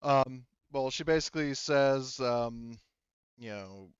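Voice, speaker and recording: A man working as game master, narrating a tabletop roleplaying game in a halting, informal way. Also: mid-range voice, smooth, dry recording, muffled recording. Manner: halting, informal, talks around points.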